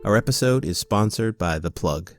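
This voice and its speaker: A man's voice: lowish voice, animated, normal speed, soft background music. A man hosting a podcast interview, asking questions in an upbeat, enthusiastic way. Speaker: podcast host.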